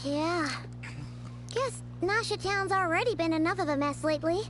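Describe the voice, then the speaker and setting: A woman voicing a small, chatty, childlike fairy companion in a video game, with a squeaky, chirpy delivery. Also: normal speed, music in the background. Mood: mildly exasperated.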